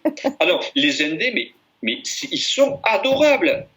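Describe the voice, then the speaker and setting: A man's voice: high voice, normal speed. A man from Béarn with a singing southwest French accent, a guest author talking casually over a video call, rambling and jovial. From Béarn, southwest France. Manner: animated, rambling, self-interrupting, jovial.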